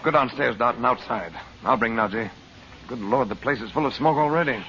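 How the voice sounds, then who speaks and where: An actor in a 1940s radio serial playing a resolute uncle leading a rescue, urgent and commanding. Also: male voice, medium pitch, fairly quick, fairly bright tone, natural voice. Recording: noisy, muffled old radio recording.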